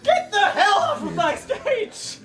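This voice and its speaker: A woman voicing an indignant, worn-out, theatrical student stage director in a tabletop game, shrill and sweary. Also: very animated, slow, echoey room, music in the background, heavily acted. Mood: indignant outrage.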